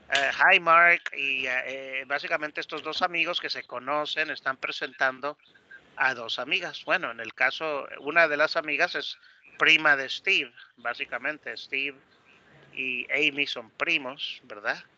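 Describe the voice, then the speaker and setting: A man's voice: fairly high pitch, unhurried, bright, forceful tone. A man teaching English online, explaining a dialogue and often repeating words as he searches for the next. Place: online video class, close dry mic. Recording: dull lo-fi online class audio.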